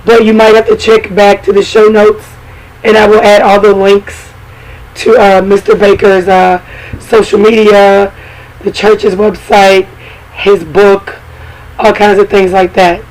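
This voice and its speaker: A hoarse woman with a cold hosting a podcast in casual, chatty talk full of fillers and asides. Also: medium pitch, level tone, a little slow, darkish tone, low-quality recording. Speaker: podcast host.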